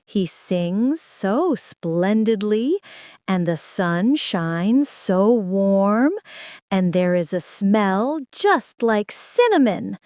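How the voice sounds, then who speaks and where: A woman's voice: mid-range voice, very animated, drawn-out words, a little mellow, no room sound, studio quality, voice pushed down. A woman voicing a cheerful, simple-hearted shoemaker who exclaims eagerly. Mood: happy.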